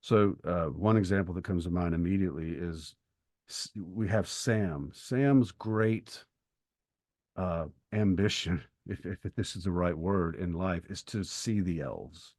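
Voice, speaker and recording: Low-voiced man talking books, dry close sound. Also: a little slow, a little mellow, no room sound. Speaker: podcast co-host. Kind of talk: casual literary discussion.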